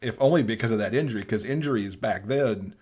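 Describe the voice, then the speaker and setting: A man's voice: lowish voice, fast talker, darkish tone, breathy edge. A man in a casual book chat as a podcast panelist, talking in an appreciative, conversational way. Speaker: podcast panelist discussing romance novels.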